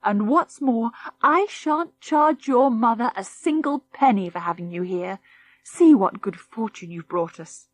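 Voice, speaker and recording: A tired, kindly farm wife speaks tenderly to the children, played by a woman reading. Her voice sits a little higher and brims with emotion. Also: animated, a little slow, no room sound. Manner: soft, emotional, motherly.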